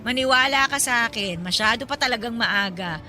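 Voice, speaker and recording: Bright, high-voiced practical young woman. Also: a little fast. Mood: firm, dismissive. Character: practical, guarded young provincial working woman in Manila. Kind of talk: Tagalog radio drama acting. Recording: music behind the voice.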